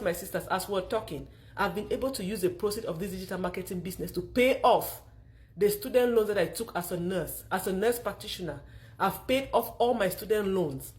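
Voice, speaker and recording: A middle-aged woman with a Cameroonian accent gives a motivational testimonial pitch, speaking emphatically with repeated phrases. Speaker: online marketer telling her own story.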